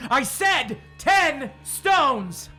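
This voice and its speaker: A high, raging, commanding sheriff. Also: male voice, sing-song, extremely slow, faint music behind, heavily acted. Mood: angry. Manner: commanding, declamatory, shouting demands. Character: cruel, raging sheriff at a witch-trial execution. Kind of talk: dramatic audio drama acting.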